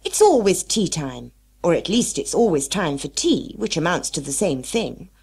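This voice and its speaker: A woman's voice: on the low side, very animated, moderate pace, vocal fry, a little mellow, slightly rough, heavily acted. A woman voicing an eccentric, fussy male tea-party host in an emphatic, declamatory storybook manner. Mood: argumentative.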